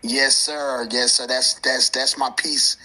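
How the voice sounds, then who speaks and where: A man's voice: medium pitch, fairly even intonation, takes it slowly, bright, forceful tone, soft background music. A man calling in to chat casually, repeating phrases and padding his sentences with filler. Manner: repeats phrases, uses many "you know"s. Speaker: community member calling in with a question. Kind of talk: casual chat on an audio call.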